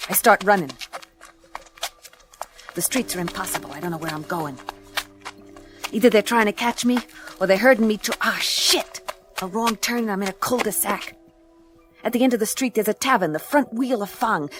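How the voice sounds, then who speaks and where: A woman's voice: medium pitch, close to an everyday voice. A woman playing a tough, hard-boiled space detective, narrating a radio play in clipped, sardonic noir style. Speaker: lead narrator.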